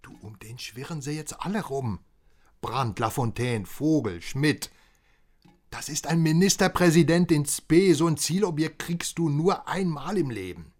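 An actor playing an excitable, pushy West German journalist in a radio crime drama, emphatic and swinging between confiding and exclaiming. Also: male voice, a little high, unhurried. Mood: confiding, eager.

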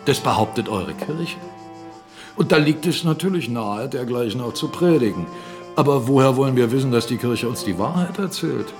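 An actor playing a blunt, argumentative captain and mill owner in a German radio drama, speaking emphatically. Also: male voice, mid-range voice, expressive, moderate pace, a little mellow, faint music behind, lightly acted. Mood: defiant, heated. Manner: argumentative, emphatic, rhetorical questions. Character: blunt, defiant male mill owner and captain, critical of church.

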